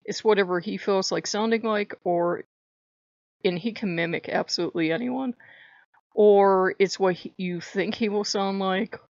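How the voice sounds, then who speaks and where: Woman vlogger, high voice, gravelly edge, chatty and rambling, dull dry recording. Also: normal speed, darkish tone, no echo. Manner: chatty, rambling, laughs often. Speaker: spiritual vlogger. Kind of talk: casual talk to camera.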